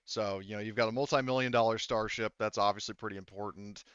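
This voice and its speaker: A man with a low, smooth voice running a tabletop game. He explains casually and haltingly, on a slightly dull, lo-fi microphone. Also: fairly even intonation, a bit bright, no room sound. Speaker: game master.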